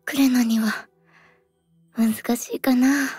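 An actress playing a gentle, devoted fox spirit in an anime audio drama, speaking wistfully and breathily in a western Japanese dialect. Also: female voice, on the high side, steady tone, slow, breathy edge, dry recording, faint music behind, full character voice. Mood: wistful, tender.

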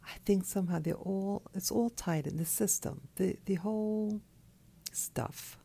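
A woman who has just woken up, talking in a soft, hoarse, half-whispered voice from her bed, slow and halting, with a clip-on mic. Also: medium pitch. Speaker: channel host. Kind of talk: early morning monologue. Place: in bed at night.

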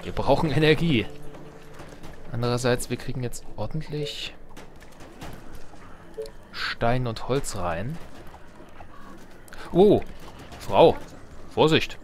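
A man's voice: medium pitch, animated, slow pace, long pauses, a little mellow, slight background noise. A man giving casual gameplay commentary, muttering to himself as he thinks aloud. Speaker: Let's Play gamer.

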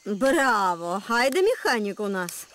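An actress voicing a sharp-tongued, self-assured adventurer in a jungle cartoon, mocking and assertive. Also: female voice, mid-range voice, big pitch swings, slow pace, a little hiss or hum. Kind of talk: animated series voice acting in Russian.

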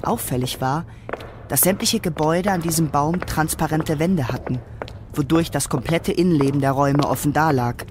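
A man's voice: fairly high pitch, moderate pace, background noise. A man reading scripted narration for a radio drama. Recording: studio narration over clear music.

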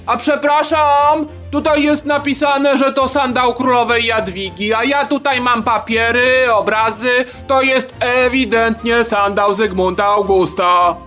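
A woman's voice: fairly high pitch, steady tone, a little slow, hardly any pauses, a bit bright, faint music behind. A woman playing a fussy, sceptical scholar in a comic history sketch, politely insistent and pedantic. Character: fussy, sceptical scholar challenging museum exhibits.

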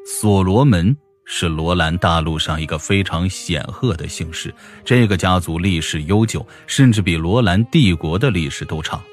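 A man with a deep voice narrates a fantasy novel from a script. He works as an audiobook storyteller, and faint music plays beneath the narration. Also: normal speed, hardly any pauses.

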